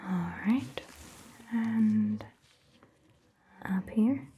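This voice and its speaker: A woman whispering softly and reassuringly in an ASMR roleplay as a calm, caring vampire doctor, close to the microphone. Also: medium pitch, extremely slow, husky, highs cut off. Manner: soft whispering, gentle and reassuring.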